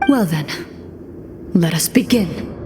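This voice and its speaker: An actress playing a composed female duelist who narrates as game master in a video game, formal and measured. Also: fairly low pitch, expressive, background noise. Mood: solemn, composed.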